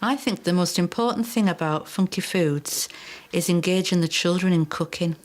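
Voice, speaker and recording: A woman's voice: lowish voice, fairly even intonation, moderate pace. A woman teacher who runs a school cooking club, answering interview questions earnestly and plainly. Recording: music playing behind.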